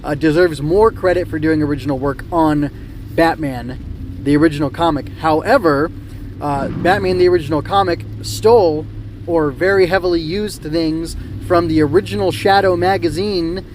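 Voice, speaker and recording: Male podcast host, slightly high voice, digressive and unscripted, faint vehicle-like noise behind. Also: moderate pace, darkish tone. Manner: rambling, self-correcting, digressive. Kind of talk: unscripted solo podcast talk.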